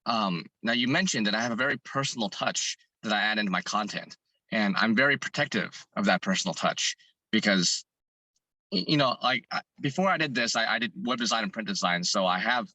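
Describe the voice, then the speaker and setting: A man with a hoarse voice, a fitness content creator answering interview questions earnestly, thinking aloud and restarting his sentences. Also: lowish voice, lively intonation, brisk, bright, forceful tone, no echo, low-quality recording.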